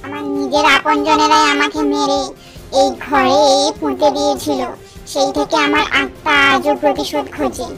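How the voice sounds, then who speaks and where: A woman voicing a vengeful, restless ghost in a cartoon horror story, speaking in a slow, menacing way. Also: high voice, little pitch movement, loud music behind the voice, natural voice. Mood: brooding. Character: vengeful restless ghost haunting an old forest house.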